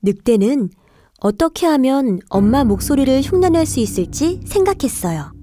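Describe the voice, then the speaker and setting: Female fairy-tale narrator for kids: high pitch, mellow timbre, clear scripted storytelling. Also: very animated, normal speed, no room sound. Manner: clear storytelling to young children. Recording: faint music behind the voice.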